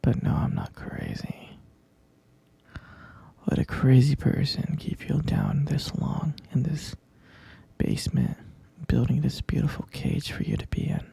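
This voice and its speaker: A hushed, heavily creaky murmur from a possessive kidnapper boyfriend acted by a man in an intimate ASMR roleplay. The delivery is slow and breathy, coaxing a captive lover with syrupy tenderness and obsessive devotion. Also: mid-range voice, huge pitch swings, no room sound, exaggerated voice. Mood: defensive, infatuated. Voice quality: breathy, fry-laden murmur. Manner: slow, coaxing, saccharine pet names.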